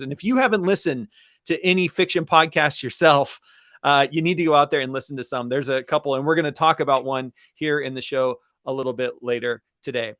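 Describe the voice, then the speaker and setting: Higher-pitched man, conversational presenter. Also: a bit bright, dry recording, studio quality. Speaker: webinar co-host, educator. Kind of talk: conversational, enthusiastic webinar talk.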